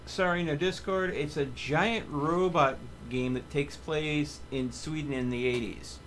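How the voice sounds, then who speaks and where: A man's voice: a little high, fairly even intonation, measured pace, a bit bright, a little hiss or hum. A man chatting casually as a game streamer, with playful, joking asides. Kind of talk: casual gaming livestream chat.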